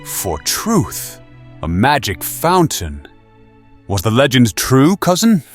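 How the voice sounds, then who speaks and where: Eager young knight male actor, very lively intonation, inquisitive, archaic courtly lines, audio drama with music. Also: medium pitch, slow pace. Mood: skeptical, curious. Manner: animated, archaic courtly speech. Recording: voice over orchestral music.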